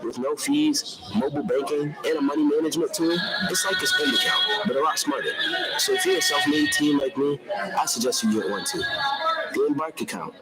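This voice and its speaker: Man playing self-assured student for a radio commercial, lively swings in intonation, music bed behind. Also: a little high, brisk, poor audio. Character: self-assured young student saving up money. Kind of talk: scripted first-person radio ad.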